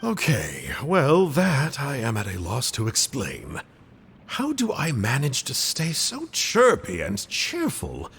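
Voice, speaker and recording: A man's voice: medium pitch, huge pitch swings, slow, gravelly, fairly bright tone, big-room sound, soft background music, heavily acted. A man voicing a cheerful, cheeky male agent of a villainous order, mock-polite and teasing.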